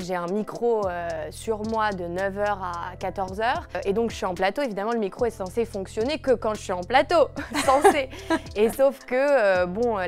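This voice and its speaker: A young woman from the Paris region, a TV news journalist, telling lively anecdotes in a casual interview. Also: medium pitch. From Paris region, France. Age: young adult. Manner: lively storytelling with laughs. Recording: music playing underneath.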